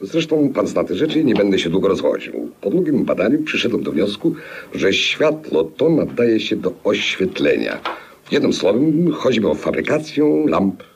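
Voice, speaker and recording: An actor playing a sly, pompous professor and shady inventor in a 1950s radio play, in a grandiloquent, mock-secretive manner, with a gravelly, husky voice. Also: male voice, on the low side, sing-song, moderate pace, a little hiss or hum. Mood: pompous, eager.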